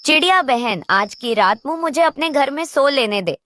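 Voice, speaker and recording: A woman's voice: high-pitched, expressive, moderate pace, noisy background. A woman voicing a brash, spiteful female crow in a children's cartoon, whining and scornful. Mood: whining, pushy. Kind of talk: Hindi children's cartoon voice acting.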